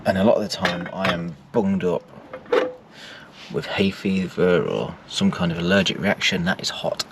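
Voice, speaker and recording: A man's voice: sits low, normal speed. A man in his forties with an English accent, chatting casually to viewers while cooking breakfast in a camper van. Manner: chatty, self-mocking asides to viewers. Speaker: vanlife vlogger. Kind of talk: casual cooking vlog narration. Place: inside a small camper van. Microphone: camera mic across a small table. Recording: distant mic with loud background noise.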